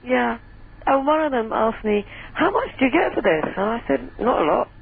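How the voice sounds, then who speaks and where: A woman's voice: on the high side, very animated, normal speed, creaky, breathy edge. Chatty woman on a phone line. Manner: chatty, giggly, self-deprecating. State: voice going hoarse. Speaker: caller. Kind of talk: phone-in chat.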